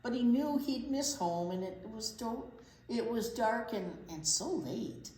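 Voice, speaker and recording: A woman reading a picture book aloud to young children as a storytime teacher, expressively, with deliberate pauses and little asides. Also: on the high side, lively intonation, a little mellow. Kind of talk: animated picture book read-aloud for preschoolers. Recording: roomy echo, faint background noise.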